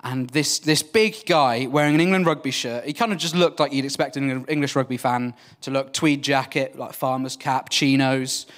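A man's voice: medium pitch, normal speed, hardly any pauses, a bit bright, heavy reverb, recorded from a distance. A young Welsh-born man whose first language is Welsh, telling a comic story to an audience in a chatty, self-deprecating way and calling his listeners folks. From Wales. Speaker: storyteller on stage.

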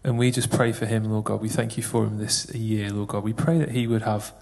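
A man's voice: fairly low pitch, fairly even intonation, fairly quick, creaky, darkish tone. A man praying aloud for someone in church, earnest, repeating phrases as he goes. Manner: earnest, repetitive prayer phrasing. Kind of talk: spoken prayer.

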